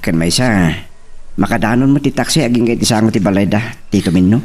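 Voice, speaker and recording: A man's voice: on the low side, fast, darkish tone, natural voice. A man with an Ilocano accent playing a polite boyfriend in an audio romance drama. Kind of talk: Filipino radio-style romance drama acting.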